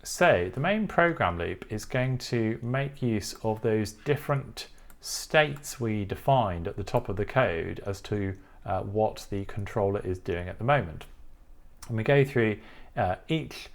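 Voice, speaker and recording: A man's voice: lowish voice, animated, unhurried, gravelly. Man methodically explaining a tutorial. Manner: methodical, step-by-step. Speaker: tutorial presenter. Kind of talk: explanatory coding tutorial walkthrough.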